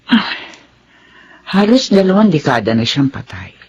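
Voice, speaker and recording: An actress playing a caring, reassuring older aunt in a radio drama, speaking in a motherly, soothing, expressive way. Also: low-pitched, measured pace, husky, highs cut off, exaggerated voice. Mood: gentle, reassuring. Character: caring, reassuring older aunt raising her late sister's children.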